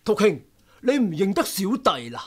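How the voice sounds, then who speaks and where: Genial older male swordsman: husky, animated, Hong Kong Cantonese, radio drama. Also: high-pitched, normal speed, full character voice. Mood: jovial.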